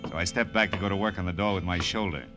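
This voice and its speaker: An actor playing a tough, wisecracking American private detective in a classic radio drama, slangy and wry. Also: male voice, low-pitched, steady tone, rapid-fire, lightly acted. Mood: tense narration. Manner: wry, slangy, hard-boiled delivery. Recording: old radio drama recording with music.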